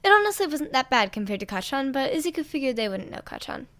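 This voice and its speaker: A woman narrating fan fiction as an amateur audiobook reader, in an expressive storytelling style. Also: high voice, fast talker.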